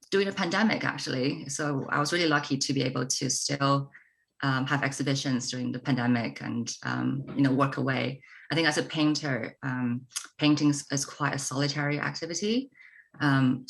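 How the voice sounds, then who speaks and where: A woman from China, an artist guest speaking in a thoughtful panel conversation with many pauses and ums. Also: extremely low pitch, gravelly, a bit bright, poor audio.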